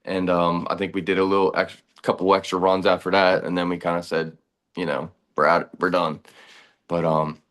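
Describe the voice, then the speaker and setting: A man in his thirties, a band's bass player, talking in a relaxed, rambling way during a casual chat. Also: moderate pace. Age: 30s.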